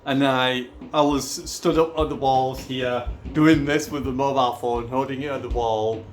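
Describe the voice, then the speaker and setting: A man, hoarse from losing his voice, talking casually and at length to his viewers as a gaming YouTuber. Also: mid-range voice, fairly even intonation, normal speed, slight background noise. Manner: rambling, repeats "obviously" and restarts often. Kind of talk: casual rambling vlog talk.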